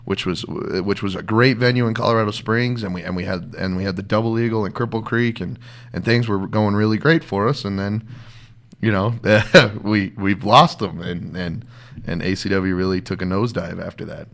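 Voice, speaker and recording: A man, a professional wrestler, talking as an interview guest in a rambling, halting way with frequent laughs. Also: on the low side, gravelly, fairly bright tone. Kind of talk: casual interview conversation.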